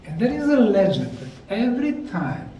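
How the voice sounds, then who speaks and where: A man with a Bosnian accent giving a deliberate, emphatic lecture to a live audience. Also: high voice, sing-song, mellow, highs cut off. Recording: echoey room, mic far off, faint noise.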